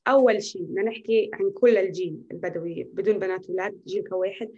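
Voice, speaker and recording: A woman's voice: mid-range voice, fast talker, mellow, lo-fi sound. A young woman with a Naqab Bedouin accent, answering interview questions in a passionate, emphatic way. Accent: Naqab Bedouin Arabic. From Naqab Bedouin, Palestine. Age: young adult. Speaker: guest, activist.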